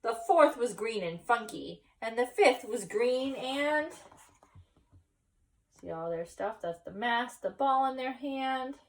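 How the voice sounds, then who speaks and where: A woman reading a picture book aloud expressively, pausing to explain and ask children questions. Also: on the high side, recorded from a distance. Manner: animated, pauses to explain and question. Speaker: teacher reading at school story time. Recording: voice some way from the mic.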